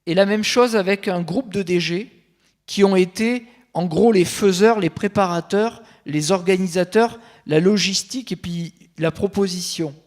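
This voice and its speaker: High-voiced man consultant at a panel. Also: a little mellow. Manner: measured, thinking aloud with frequent fillers and restarts. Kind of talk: panel talk at a conference.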